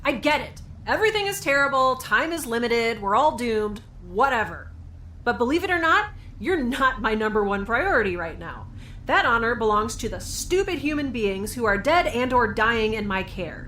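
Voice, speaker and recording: A woman's voice: a little high, a bit bright, a little hiss or hum. A woman playing a weary, overwhelmed young scientist in a science fiction audio drama, speaking a hesitant, wry and confiding diary monologue. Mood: exasperated. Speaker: narrator and lead of an audio drama.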